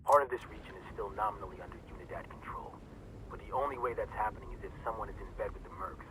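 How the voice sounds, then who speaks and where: An actor playing a businesslike intelligence handler briefing soldiers in a video game, matter-of-fact. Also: male voice, lowish voice, quick pace, natural voice. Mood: grave briefing. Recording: noisy ambience behind.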